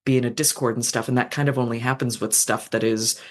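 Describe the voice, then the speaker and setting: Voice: medium pitch, fairly even intonation, quick pace. Thoughtful rambling podcast guest. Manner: thoughtful, rambling with restarts and fillers. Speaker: fanfiction author, guest. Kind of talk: casual podcast conversation.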